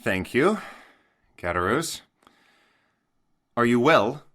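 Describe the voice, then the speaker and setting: An actor playing a devoted, honest young sailor son in an audio drama, speaking in an earnest, measured way. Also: male voice, mid-range voice, sing-song, bright, forceful tone, heavily acted. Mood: guarded.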